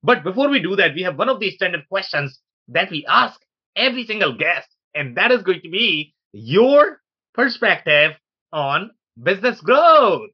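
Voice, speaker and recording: Higher-voiced man hosting an interview, muffled audio. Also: sing-song, moderate pace, breathy edge. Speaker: podcast host.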